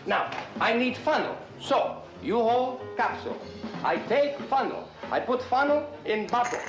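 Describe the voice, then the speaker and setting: A loud reveler, high lively voice, broken English, echoey room, music behind. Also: male voice, a little slow, full character voice. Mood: boisterous. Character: boisterous Russian man Igor, playful and romantic.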